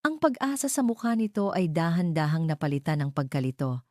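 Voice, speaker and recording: A woman narrating a scripted love story in a deliberate, melodramatic way. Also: deep voice, big pitch swings, mellow, no room sound, clean studio sound.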